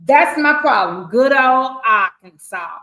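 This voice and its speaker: A woman's voice: high voice, animated, takes it slowly, bright, forceful tone. Outspoken woman with a Southern accent. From Chicago. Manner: emphatic, outspoken. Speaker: host. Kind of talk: podcast discussion. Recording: echoey room.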